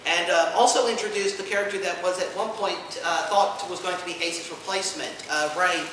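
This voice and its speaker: A man giving an informal panel presentation to an audience, an enthusiastic explainer who speaks haltingly with many asides. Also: high voice, fairly even intonation, a bit bright. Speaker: convention panelist presenting audio drama releases. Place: indoor convention panel room. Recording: echoey room from far off, noisy.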